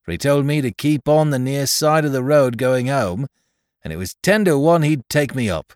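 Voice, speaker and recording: A man's voice: medium pitch, normal speed, no room sound, clean studio sound, pitched above the performer's own voice, natural voice. A man voicing a fussy, anxious little old toymaker in a read-aloud story. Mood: anxious eager. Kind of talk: storybook character voice.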